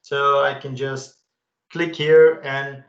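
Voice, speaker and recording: Man, gravelly voice, casual software walkthrough, roomy echo. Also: mid-range voice, little pitch movement, slow pace, muffled recording. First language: Dutch. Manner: casual, enthusiastic, frequent "uh" fillers. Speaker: webinar presenter. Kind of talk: live software demo walkthrough.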